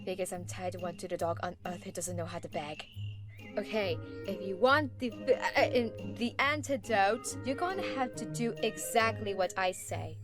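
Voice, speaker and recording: A woman voicing a sarcastic, wheedling female alley cat in an amateur performance of an animated film scene, with theatrical delivery. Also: medium pitch, moderate pace, over music, lightly acted. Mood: wheedling, bossy. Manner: sardonic, theatrical.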